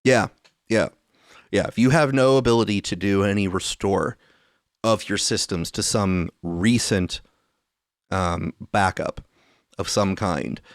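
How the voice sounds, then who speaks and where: A man's voice: fairly low pitch, unhurried, long pauses, no room sound, clean studio sound. A man hosting a podcast, chatting and reading news stories aloud. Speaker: podcast host.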